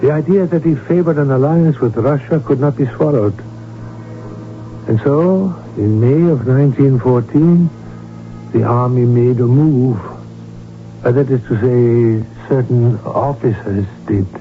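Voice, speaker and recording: An actor in a radio drama playing an outspoken royal physician, who speaks and narrates in a dramatic, deliberate, emphatic way. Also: male voice, medium pitch, lightly acted. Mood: reflective, wry. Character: outspoken royal physician, urgent, bitter, narrating his past. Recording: tinny old radio broadcast with music.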